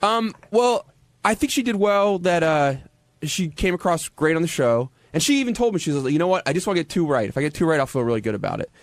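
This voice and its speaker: A man with a slightly high voice talks casually as a radio regular. He is hesitant and defensive, starting sentences over as he explains himself. The sound is close and dry. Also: big pitch swings, no room sound. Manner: hesitant, defensive, halting with repeated restarts. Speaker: radio show regular, interviewed guest. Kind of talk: casual studio talk.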